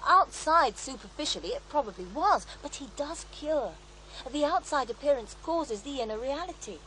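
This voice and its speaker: An actress playing a serene, devout faith healer in a radio drama, reflective and gently assured as she tells her story. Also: high-pitched, animated, normal speed, a little hiss or hum, rough recording. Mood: wistful. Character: serene devout female faith healer, former child therapist, wistful. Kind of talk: naturalistic radio drama acting.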